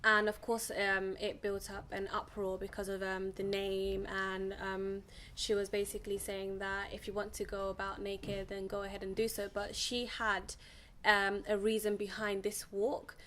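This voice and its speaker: A young woman talks steadily in a flat, even voice, pausing often on ums as she thinks. A faint background hum sits under the recording. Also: medium pitch. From England. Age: young adult. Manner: even, measured delivery with frequent ums. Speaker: guest. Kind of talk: casual studio interview.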